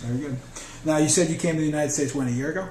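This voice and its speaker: A man interviewing a guest, asking brisk, short questions. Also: fairly high pitch, a little mellow, distant mic. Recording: echoey room, faint hiss.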